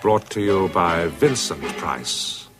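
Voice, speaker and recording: Show opener: very low, very slow male announcer over loud music. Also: lively intonation. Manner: slow, ominous delivery. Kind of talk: slow dramatic show introduction.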